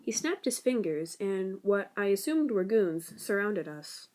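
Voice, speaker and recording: A woman's voice: mid-range voice, very animated, measured pace, darkish tone. A woman playing an anxious, sarcastic teenage boy who narrates his own story in an amateur comic dub. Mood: narrating. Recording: amateur old-device recording, music behind. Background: video game music.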